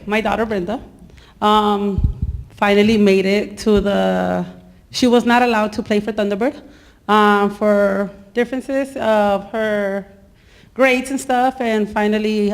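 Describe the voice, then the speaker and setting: Woman, mother, loud voice, gravelly, chatty. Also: medium pitch, fairly even intonation, slow pace. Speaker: congregation member. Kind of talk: sharing at a church service.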